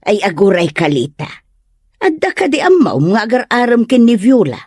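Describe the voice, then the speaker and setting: Disapproving middle-aged mother, acted by a woman, probing, animated pitch, dry sound, comic radio drama. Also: moderate pace, husky, no room sound, exaggerated voice. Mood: suspicious. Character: stern, suspicious mother questioning her daughter's friend. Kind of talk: comedy radio drama acting in Ilocano.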